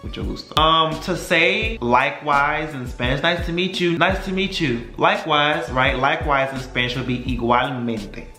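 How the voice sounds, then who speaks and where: A young man from Texas giving a casual video lesson, repeating phrases slowly and checking in with "right?" as he goes. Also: fairly high pitch, sharp tone, roomy sound, faint music behind. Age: young adult. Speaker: Spanish teacher. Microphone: camera mic.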